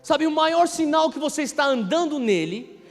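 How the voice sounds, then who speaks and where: A Brazilian man in his thirties preaching a sermon, emphatic, repeating key phrases and swinging between hushed and loud delivery. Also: very high voice, animated, moderate pace. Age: 30s. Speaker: pastor preaching. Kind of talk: impassioned sermon. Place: large reverberant church hall. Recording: reverberant hall PA, music underneath. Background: music.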